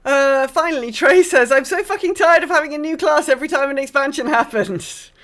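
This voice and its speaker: A woman's voice: high-pitched, brisk, a bit bright. A woman hosting a podcast, talking casually about gaming with wry, sardonic asides. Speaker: podcast host. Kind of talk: casual discussion of a video game.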